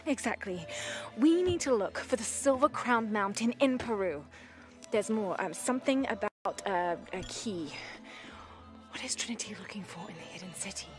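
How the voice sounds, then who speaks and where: A scholarly young woman adventurer voiced by an actress speaks with intent focus in a video game scene. Her pitch sits mid-range and her delivery is natural and thoughtful. Faint music plays behind her. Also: animated, normal speed, lightly acted. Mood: absorbed, explaining. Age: young adult.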